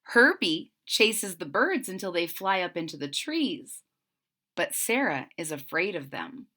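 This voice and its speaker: A woman reading aloud to children, expressive and explanatory. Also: on the low side, measured pace. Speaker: storybook reader.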